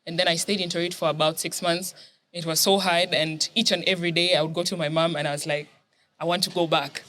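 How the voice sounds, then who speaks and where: A woman's voice: fairly low pitch, level tone, quick pace, fairly bright tone, slight background noise. A young woman from Kenya telling her life story as a guest in a casual chat, laughing often.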